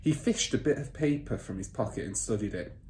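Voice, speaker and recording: Animated man reading a children's story, echoey room. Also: mid-range voice, a little fast. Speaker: teacher reading aloud. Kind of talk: expressive storybook narration for children. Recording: roomy echo, faint background noise.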